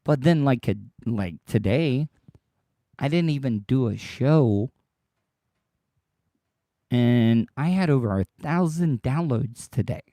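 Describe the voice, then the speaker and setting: A man hosting his own podcast livestream, rambling and repeating himself as he talks straight to his listeners, his voice worn deeper than usual by tiredness. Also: expressive, slow, long pauses, mellow, no echo, clean studio sound. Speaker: podcast host. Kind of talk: casual solo livestream monologue.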